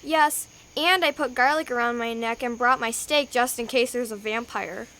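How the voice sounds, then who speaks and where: A woman's voice: a little high, moderate pace, bright, forceful tone. A young female performer playing a plucky, determined girl in an amateur radio drama. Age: child.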